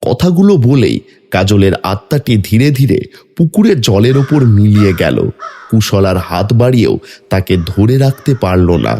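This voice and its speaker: A man's voice: on the low side, very animated, moderate pace, dark tone, slight background noise. A man narrating a horror story in a dramatic, scripted storytelling style. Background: music.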